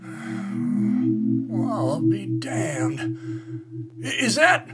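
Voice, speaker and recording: An actor playing a blustering, irascible army colonel in a radio play, sputtering and commanding. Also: male voice, sits high, very animated, very slow, over loud music, full character voice. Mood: incredulous, irritated. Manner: sputtering, incredulous, gruffly commanding.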